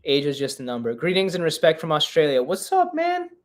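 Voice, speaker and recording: A man's voice: on the high side, wildly swooping pitch, fairly quick. A man in his twenties hosting a casual live-stream Q&A podcast, chatty and self-mocking as he reads the chat aloud. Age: 20s. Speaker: podcast host.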